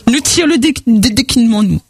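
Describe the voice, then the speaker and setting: A man hosts a call-in radio show, and his voice sits very high for a man. He talks in a chatty, teasing way, full of exclamations. It sounds like a radio broadcast. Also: animated, fairly quick. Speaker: radio host taking calls.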